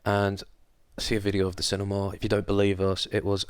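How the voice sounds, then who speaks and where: A man's voice: lowish voice, monotone, a little fast, clean tone, dry recording, studio quality. A man co-hosting a film podcast, chatting casually with wry, sarcastic humour and some swearing. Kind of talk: casual chat, recapping a film plot.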